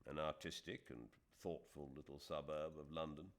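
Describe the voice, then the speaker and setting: A man playing a calm, courteous middle-aged English gentleman in an audiobook, level and reasonable. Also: very deep voice, moderate pace. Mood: matter-of-fact.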